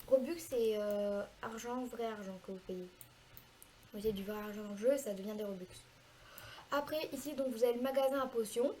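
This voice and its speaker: A woman with a cold explaining a video game in a chatty, hesitant way, pausing often to fill gaps while she plays. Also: on the high side, warm tone, a little hiss or hum. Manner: chatty, hesitant, frequent filler pauses. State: has a cold, congested. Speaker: gaming video creator. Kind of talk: casual gameplay tutorial commentary.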